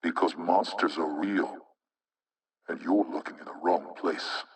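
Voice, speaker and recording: An actor playing an anonymous male hacktivist spokesman in a video game propaganda broadcast, speaking in a measured, rhetorical, insinuating way with menace. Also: low voice, fairly even intonation, distant mic. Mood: menacing, defiant.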